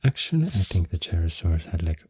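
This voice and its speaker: A man with a Swedish accent softly rambling through an ASMR explanation, breathy and often near a whisper, soothing and hesitant in manner. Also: deep voice, very animated, brisk, no echo, clean studio sound. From Sweden. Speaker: ASMR host.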